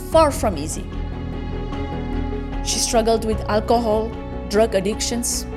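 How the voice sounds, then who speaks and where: A woman host reading a scripted show introduction in a measured, dramatic storytelling way. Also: fairly high pitch. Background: background music.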